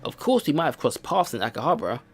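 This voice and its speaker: A man doing a let's play, reading visual novel narration aloud in an expressive storytelling style. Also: a little high, fast. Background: game music.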